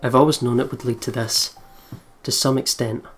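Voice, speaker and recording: A man hosts a let's play and reads the story narration aloud. His voice sits at a medium pitch, with guitar music clearly behind it. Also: fairly even intonation, moderate pace. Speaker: let's play host reading the game's narration. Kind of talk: scripted narration read aloud. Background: game music with guitar.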